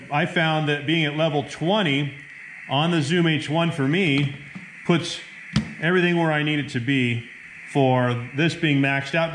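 A man's voice: fairly high pitch, fairly bright tone, rough recording. A middle-aged American man narrating a casual hands-on how-to as a hobby radio operator, speaking at a table indoors. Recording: clear background room noise.

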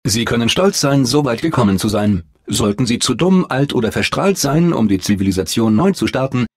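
An actor voicing a polite, unflappable automated announcer in a video game, with formal, measured, deadpan official delivery and a blandly upbeat temper. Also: male voice, mid-range voice, slightly rough, no room sound, clean studio sound. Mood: blandly reassuring. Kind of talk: scripted game announcements, German dub.

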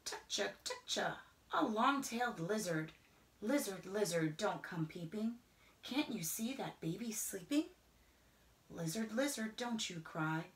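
A middle-aged woman reads a picture book aloud to children in a rhythmic, singsong way, often dropping into hushed whispers. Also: medium pitch, animated, a little slow. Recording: roomy indoor echo.

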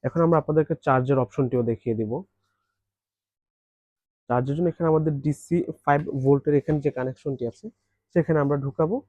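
A man's voice: fairly high pitch, brisk, long pauses, darkish tone, dry recording, dull audio. A man giving a step-by-step product demonstration, explaining each function in a practical way.

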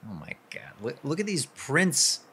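A man's voice: mid-range voice, wildly swooping pitch, measured pace, pushed and bright, husky, exaggerated voice. A man playing an earnest, jittery newlywed husband in a radio drama. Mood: creeped out.

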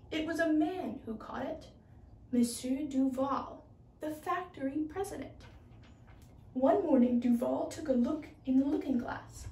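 A teenage girl reads a storybook aloud with a high, soft-edged, mellow voice. She takes her time over each phrase. The room echoes around her and she sits back from the microphone. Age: teens, high school junior. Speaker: children's storybook reader. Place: small room indoors. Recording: roomy, distant microphone.

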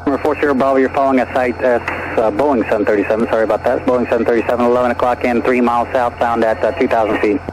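Quick, level-toned male air traffic controller. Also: medium pitch, steady tone. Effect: aviation radio. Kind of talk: procedural radio instructions. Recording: noisy air traffic radio transmission.

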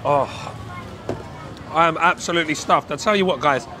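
A man's voice: fairly high pitch, moderate pace, sharp tone, a faint crowd. A man, vlogging chattily and enthusiastically about street food, talking into a camera held at arm's length. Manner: chatty, enthusiastic, addresses viewers as guys. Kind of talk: casual street food vlog. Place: outdoor night market. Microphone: handheld camera at arm's length. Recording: faint outdoor market noise.

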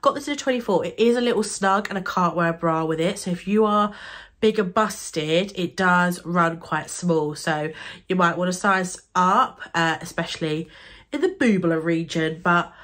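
A woman's voice: on the low side, normal speed, fairly bright tone. A young British woman with a stuffy, nasal voice from a cold, chatting bubbly and gushing about beauty products to camera from her bedroom. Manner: bubbly, gushing, laughs often. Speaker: beauty and fashion YouTuber. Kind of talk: chatty product-review vlog. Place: bedroom, seated on a sofa, indoors.

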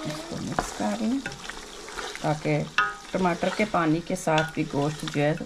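A woman with a sore, hoarse throat hosting a home cooking video, chatting her way through each step. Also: low-pitched, big pitch swings, measured pace, very noisy. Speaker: cooking channel host. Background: frying and sizzling in a kitchen.

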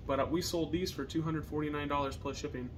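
Even-toned young American man, noisy recording. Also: fairly high pitch, fast. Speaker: reseller co-hosting a video channel. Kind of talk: casual chat to camera. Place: partially finished basement, indoors. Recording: noisy basement room recording.

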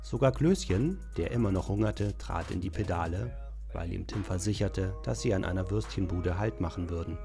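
A man's voice: sits low, normal speed, creaky, music in the background. A man reading scripted narration for an audio drama.

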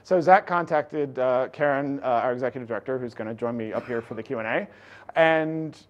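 A middle-aged man giving a conference talk from slides in a large lecture hall, projecting loudly and energetically. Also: medium pitch, fairly quick, dull audio. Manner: energetic, loud, projecting without amplification. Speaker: conference speaker. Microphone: room recording mic, no lapel.

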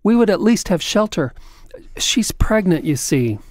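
An actor playing a gentle, anxious young husband in a radio drama, polite, hesitant and earnest. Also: male voice, high voice, big pitch swings, a little slow, no room sound, studio quality. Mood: hesitant pleading. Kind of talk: 1940s radio drama acting. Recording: music under the voice.

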